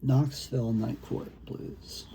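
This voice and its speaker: A man with a gravelly, creaky voice, a poet talking casually and reading his own work in a halting, conversational way. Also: mid-range voice, steady tone, warm tone, slight background noise. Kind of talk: casual talk and poetry reading.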